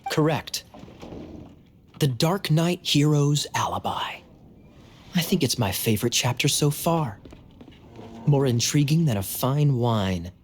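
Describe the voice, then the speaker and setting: A man playing a suave young knight in a video game, talking in a wry, teasing, smooth way. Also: medium pitch, animated, slow pace, pauses often. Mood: amused. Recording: faint background ambience noise.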